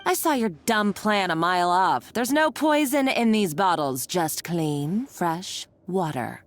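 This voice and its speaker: An actress playing a scornful, scheming villainess in a video game, with mocking, taunting delivery. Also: female voice, on the low side, expressive, a little slow. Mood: gloating. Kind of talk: theatrical video game voice acting.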